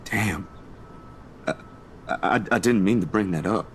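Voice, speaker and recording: A man's voice: low-pitched, unhurried, slight background noise. A man voicing a casual, chatty, concerned young photographer in a visual novel, in a hesitant conversational manner. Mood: concerned, puzzled.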